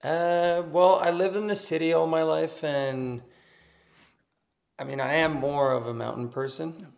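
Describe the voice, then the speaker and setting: A man in his thirties with a Norwegian accent, chatting casually as a podcast guest over a video call from his kitchen, relaxed and laughing easily. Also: fairly high pitch, unhurried, gravelly. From Norway. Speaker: guest, pro snowboarder. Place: home kitchen, indoors.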